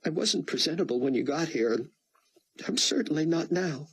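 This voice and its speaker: A man voicing a tearful, apologetic young woman in an audiobook, speaking softly and in near-whispers. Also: on the high side, lively intonation, normal speed, slightly rough, voice pushed up, exaggerated voice. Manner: soft, whispery, teary. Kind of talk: audiobook character voice.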